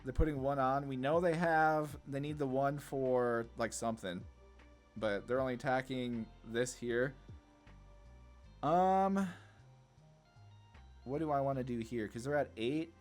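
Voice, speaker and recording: A man's voice: mid-range voice, a little slow, pauses often. A man giving casual card game commentary, thinking aloud and often questioning his own choices as he goes. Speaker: gameplay video host. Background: background music.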